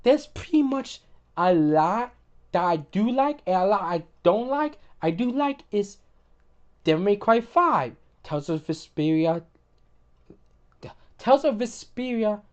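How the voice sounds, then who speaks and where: A woman hosting casual gaming commentary, opinionated, often repeating and restarting words, her voice getting hoarse. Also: mid-range voice, big pitch swings, a little slow, long pauses, darkish tone, highs cut off. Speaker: gaming video host.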